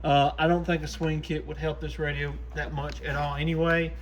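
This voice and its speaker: A man's voice: fairly high pitch, flat delivery, normal speed. A man giving an informal, plain-spoken, hands-on demo as the host of a repair shop channel. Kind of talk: casual hands-on demo narration. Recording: clearly noisy shop recording.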